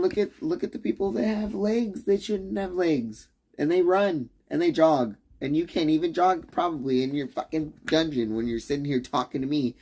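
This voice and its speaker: Man streamer, fried low rasp, mellow dark tone, swearing and rambling, keeps cutting himself off and needling the audience. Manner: profane, rambling, restarts sentences often; conspiratorial, challenging the listener. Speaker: livestreamer. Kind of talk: rambling livestream rant.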